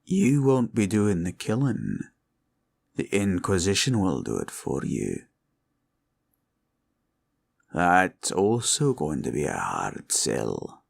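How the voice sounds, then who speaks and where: A man playing a scheming, condescending male green dragon villain in a roleplay ASMR audio, with a gravelly, husky voice and a slow, probing drawl. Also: low-pitched, big pitch swings, full character voice. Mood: stern, condescending.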